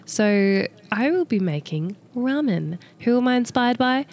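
An Australian woman co-hosting a casual food podcast. She has a cold, so she sounds nasal, and she talks in a relaxed way while explaining food facts. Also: on the high side, measured pace. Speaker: podcast co-host. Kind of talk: casual chat.

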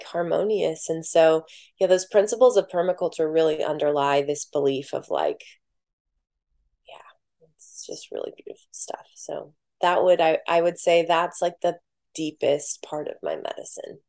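A woman guest in a casual podcast conversation, talking softly and reflectively with many filler words. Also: low voice, fairly even intonation, unhurried, gravelly. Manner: soft-spoken, many "like" fillers.

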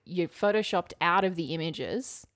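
A woman's voice: on the low side, lively intonation, normal speed, dry recording, clean studio sound. A young woman co-hosting a podcast in casual conversation, candid and wry, repeating things for emphasis. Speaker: podcast co-host. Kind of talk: casual conversational chat and storytelling.

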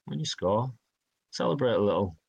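A man, telling stories from his career in a relaxed interview, often acting out what others said to him. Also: fairly low pitch, normal speed, creaky, husky. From Northeast England. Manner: chatty, self-deprecating, quotes others in dialogue. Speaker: guest, hockey player. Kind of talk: casual interview storytelling.

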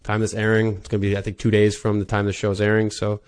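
Podcast host, man, Wisconsin accent, lower pitch, loose rambling chat. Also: completely flat delivery, quick pace, darkish tone, clear, resonant tone. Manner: rambling, chatty, self-deprecating. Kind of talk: casual interview chat.

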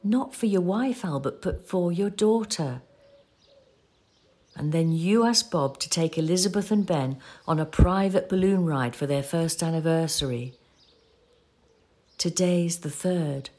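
A low-voiced woman as a patient companion in an audio drama. Her voice is slightly husky, and she explains things gently, with a measured sorrow. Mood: sorrowful, gentle. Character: patient, sorrowful female companion of a forgetful old man. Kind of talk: audio play acting.

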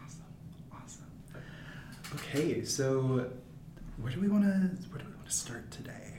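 A man's voice: fairly high pitch, animated, moderate pace, in a whisper. Casual young man, echoey room. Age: young adult. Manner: friendly, many fillers. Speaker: podcast host. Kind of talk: casual student podcast chat. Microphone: wearing headphones at a mic. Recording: echoey room, faint noise.

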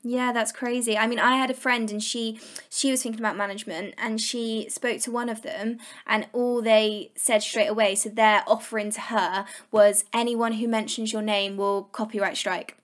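A woman's voice: on the high side, little pitch movement, moderate pace, few pauses. A young woman, hosting a casual interview, talking chattily with many "like"s and repeated words; her is Portuguese. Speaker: podcast host.

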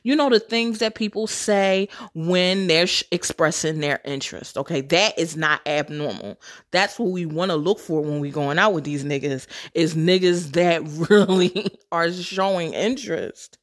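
A woman with a low voice hosts a casual storytelling podcast. She talks candidly and with sass, swears freely and laughs often. She calls her listeners sisters and girl. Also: a little slow. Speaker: podcast host.

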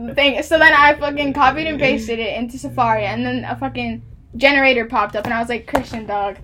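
A young woman with a high, bright voice, chatting casually with friends. She swears freely and talks in loose slang. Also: steady tone, brisk.

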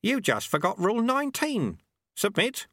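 A crafty old martial arts master, sly and teasing, pushed noticeably higher than a plain speaking voice and swinging up and down as he talks, as a male storyteller puts him on. The sound is close and dry with no room around it. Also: wildly swooping pitch, normal speed, sharp tone, no room sound, exaggerated voice.

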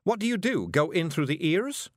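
A man's voice: fairly high pitch, sing-song, slow, dry recording, clean studio sound, voice pushed up. A man voicing a polite, puzzled, kindly woman with a hesitant, questioning manner in a storybook reading. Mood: aghast.

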